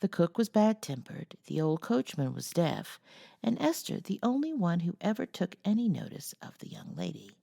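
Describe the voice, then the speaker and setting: Woman, storyteller, low-pitched, strongly creaky, expressive read-aloud of a classic novel, measured and cozy.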